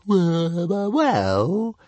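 Man playing a small sly dragon, high stutter, whiny and evasive. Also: huge pitch swings, extremely slow, dark tone, no echo, exaggerated voice. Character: small stammering sly young male dragon.

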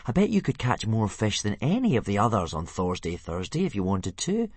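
Storybook boy, as a man puts him on: exasperated, coaxing, lively up-and-down voice. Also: lowish voice, fairly quick, breathy edge, dry recording. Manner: pleading, coaxing, sometimes yelling. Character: young boy dragon trainer, exasperated, coaxing.

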